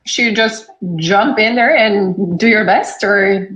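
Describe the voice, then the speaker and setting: A woman's voice: mid-range voice, takes it slowly, fairly bright tone, low-quality recording. A woman hosting and moderating an interview, friendly and conversational, summing up points and steering the talk. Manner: friendly, sums up and moves the talk along. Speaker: host and moderator.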